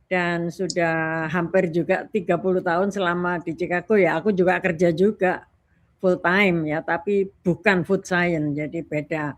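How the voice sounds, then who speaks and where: A woman's voice: on the low side, steady tone, moderate pace. A woman in her sixties with an Indonesian accent, speaking as a talk guest in a casual, chatty, colloquial way. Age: 60s. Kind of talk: casual chat.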